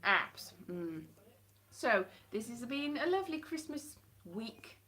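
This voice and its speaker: Woman, 30s, casual live-stream chatter into a close webcam, self-mocking and sarcastic, slightly high voice. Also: very animated, takes it slowly, bright, forceful tone. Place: home hallway by a staircase, indoors. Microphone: webcam or phone at close range.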